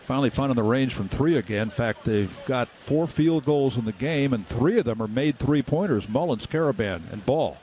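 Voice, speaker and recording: A man's voice: medium pitch, lively intonation, moderate pace, hardly any pauses, a little mellow. A man working as a color commentator on live basketball radio, explaining plays and stats. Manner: explanatory, stat-focused. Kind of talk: live radio-style sports analysis.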